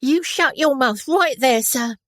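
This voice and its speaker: Narrator doing a fiery bossy washerwoman, high-pitched, loud and sharp, dry close sound. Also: unhurried, no echo. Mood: scolding. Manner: bossy, scolding. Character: fierce scolding young army washerwoman.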